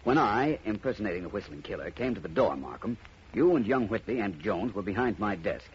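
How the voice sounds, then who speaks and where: A muffled vintage radio recording holds a suave private detective. His very husky, lowish voice moves expressively and deliberately, full of wry self-assurance. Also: lively intonation. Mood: quietly triumphant. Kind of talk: 1950s radio detective drama acting.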